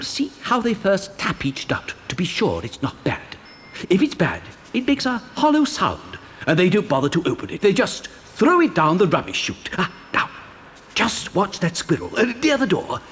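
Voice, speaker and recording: An actor playing an eccentric, gleeful chocolate factory owner in a children's audio drama, flustered, hesitant and mischievous. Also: male voice, high voice. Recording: faint hiss, 1990 cassette dramatisation.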